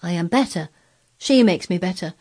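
A woman's voice: fairly low pitch, animated, moderate pace, a little mellow, breathy edge. A woman voicing an imperious, wilful, sickly ten-year-old boy in a storybook, giving orders in a commanding way. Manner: commanding, peremptory. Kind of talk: storybook character voice.

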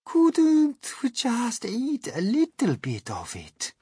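A man's voice: very high voice, wildly swooping pitch, takes it slowly, warm tone, husky, no echo, clean studio sound, voice pushed up, heavily acted. A man voicing a polite, timid female spider with a genteel, pleading manner in a storybook.

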